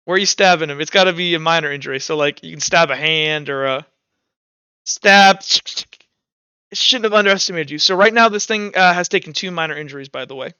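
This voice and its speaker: A man's voice: on the high side, fairly quick, pushed and bright, clear, resonant tone, no echo, highs cut off. A man acting as game master, mixing casual rules talk with informal, vivid narration of combat in a tabletop roleplaying game.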